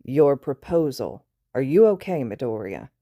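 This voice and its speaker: A woman's voice: very deep voice, measured pace, dry recording, studio quality, exaggerated voice. Woman voicing a tired, stern male teacher. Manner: flat, stern, deadpan. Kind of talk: fan fiction read aloud.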